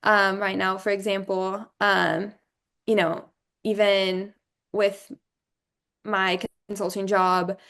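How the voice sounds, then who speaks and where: A young woman from Brazil, a former student, talking through her path in a relaxed interview, thinking aloud with many "um"s and "you know"s. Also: mid-range voice, slow pace, pauses often, clean tone. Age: young adult. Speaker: alumna guest. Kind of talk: casual interview conversation.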